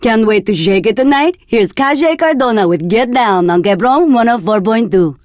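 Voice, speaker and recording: Game radio DJ voiced by a woman: seductive, self-assured, slightly high, very close and dry. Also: animated, moderate pace, dry recording, close to an everyday voice. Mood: flirty, upbeat. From Argentina. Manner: sultry, seductive, teasing. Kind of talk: scripted game radio DJ patter.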